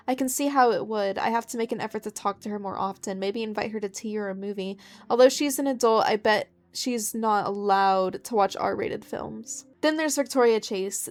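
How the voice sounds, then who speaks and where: A woman hosting a gaming video, reading game text aloud and commenting in an informal, chatty way. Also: medium pitch.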